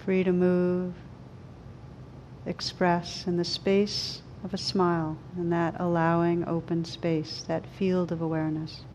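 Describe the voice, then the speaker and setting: A woman leading a guided meditation, speaking gently and soothingly in slow, unhurried phrases with pauses. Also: on the low side, level tone, slight background noise, poor audio. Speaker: meditation teacher.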